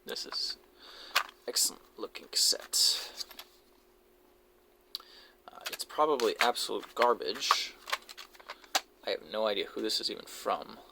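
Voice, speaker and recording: A man giving casual, unscripted show-and-tell commentary in a low-key, halting way, as if musing to himself. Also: animated, creaky. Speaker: hobbyist video creator showing a cassette collection. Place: basement, indoors. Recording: noisy amateur audio with clicks and handling noise.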